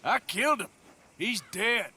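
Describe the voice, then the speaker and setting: A man playing a gruff, sardonic American cowboy gunslinger in a video game, with a dry drawl. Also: high voice, animated, measured pace, bright, forceful tone, heavily acted. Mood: angry. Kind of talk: video game cutscene acting. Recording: noisy outdoor game ambience under dialogue.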